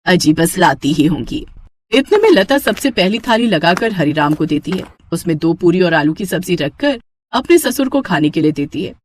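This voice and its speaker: A woman's voice: on the low side, sing-song, normal speed, a little hiss or hum. A woman narrating a scripted moral tale as a storyteller.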